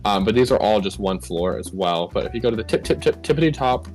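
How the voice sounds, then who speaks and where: A man's voice: lowish voice, quick pace, faint music behind. Laid-back young man giving a joking game tour. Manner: relaxed, joking tour-guide talk with fillers. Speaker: co-host touring a game build. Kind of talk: casual game tour chat.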